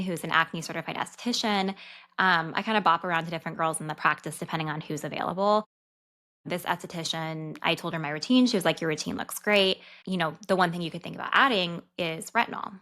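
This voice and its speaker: A woman's voice: fairly low pitch, sharp tone. A woman in her thirties hosting a podcast, talking candidly and casually about a personal experience. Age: 30s. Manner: candid, chatty, fast-talking. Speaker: podcast host and dietitian.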